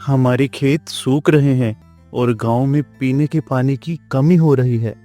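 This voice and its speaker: Man playing a bright-voiced, worried humble farmer. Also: medium pitch, steady tone, measured pace, faint music behind, close to an everyday voice. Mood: pleading. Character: worried, humble, debt-ridden male village farmer. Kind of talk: Hindi moral-story cartoon dialogue.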